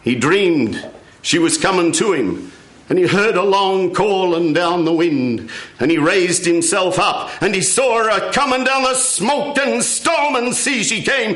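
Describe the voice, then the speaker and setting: A man's voice: high-pitched, animated, unhurried, a bit bright. A man telling a folk tale live, in a theatrical, incantatory storytelling manner. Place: radio studio before a live audience. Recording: roomy, echoing live radio studio.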